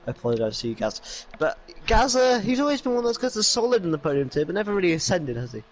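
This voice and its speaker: A man's voice: high-pitched, sing-song, racing, slight background noise. A young man commentating an esports racing league in casual chat, bantering and laughing often, on a headset from a home studio.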